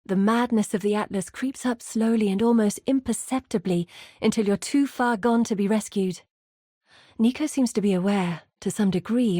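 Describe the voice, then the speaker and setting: A woman acts out an earnest, guarded female guide from a fantasy video game. Her mid-pitched voice is measured and articulate, each line delivered seriously. The recording is dry and close, with no room around it. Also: mellow, no echo, natural voice. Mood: concerned. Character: earnest, guarded woman, mapmaker guiding an exile through dangers. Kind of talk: scripted video game character dialogue.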